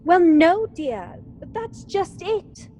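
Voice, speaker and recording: Casting: actress as sweetly wheedling Edwardian young wife, lilting and unhurried, for radio drama. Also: female voice, very high-pitched, very animated, dark tone, a little hiss or hum, exaggerated voice. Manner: coy, hesitant, drawn-out, wheedling. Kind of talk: period radio drama acting.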